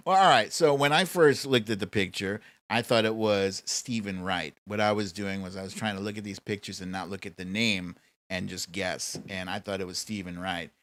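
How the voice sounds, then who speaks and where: A man's voice: lowish voice, normal speed, a bit bright. Casual man hosting a playful live stream. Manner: informal, mildly sweary, playfully teasing the audience. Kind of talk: casual live quiz banter, reading out viewer names.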